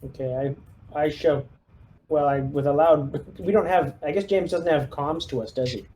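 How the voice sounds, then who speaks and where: A man's voice: on the high side, fairly even intonation, moderate pace, warm tone, slight background noise. A man playing a tabletop game as squad leader, mixing casual chat with orders to the group over voice chat.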